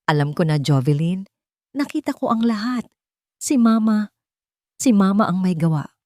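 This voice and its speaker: Earnest, devoted wealthy gentleman voiced by a woman. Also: medium pitch, expressive, normal speed, no echo, studio quality, pitched above the performer's own voice, natural voice. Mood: anguished, remorseful. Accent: Filipino. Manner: earnest, emotional. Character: powerful wealthy man, remorseful, devoted and protective lover.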